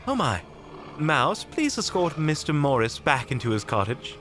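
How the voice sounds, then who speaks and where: A man's voice: a little high, big pitch swings, normal speed, fairly bright tone. A man playing a polite, unflappable monster hunter in an audio roleplay, speaking in a formal, prim, theatrical manner. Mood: mildly surprised. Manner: formal, prim, wryly courteous.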